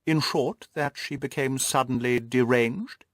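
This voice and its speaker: A man's voice: medium pitch, unhurried, no echo, studio quality, natural voice. A man voicing a haughty, self-satisfied lord in an audiobook, speaking in a pompous, formal, long-winded way. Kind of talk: audiobook character dialogue.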